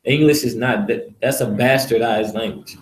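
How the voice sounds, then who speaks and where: A man's voice: medium pitch, moderate pace, a little hiss or hum. A man preaching casually to a small group, blunt and profane, rambling with many fillers. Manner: blunt, rambling, profane, repeats "you know". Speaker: street preacher leading a teaching session. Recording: echoey room.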